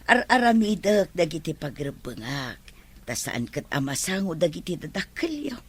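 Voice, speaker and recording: A patient, dutiful maid, with a husky, breathy voice. She speaks gently and deferentially in radio-drama style. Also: fairly low pitch, very animated, moderate pace. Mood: respectful, subdued. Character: humble, patient, dutiful female household maid. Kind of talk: Ilocano radio drama acting.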